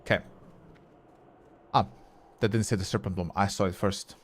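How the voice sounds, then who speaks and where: A man's voice: sits low, steady tone, normal speed. Chatty male game streamer, Croatian native. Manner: chatty, reads and answers chat, swears casually. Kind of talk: casual live-stream chat while gaming.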